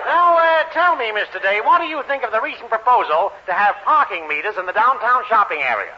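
A man playing a breezy, wry, brisk newspaper reporter in a 1940s radio sitcom. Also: high-pitched, lively intonation. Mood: wry, puzzled. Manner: brisk, wisecracking. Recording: muffled, noisy 1940s radio recording.

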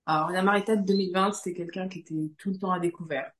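A woman's voice: on the low side, steady tone, very fast. A woman in her forties giving a conversational personal testimony as a podcast guest, repeating phrases for emphasis. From Guadeloupe. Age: 40s. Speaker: podcast guest, coaching client. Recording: roomy, echoing sound.

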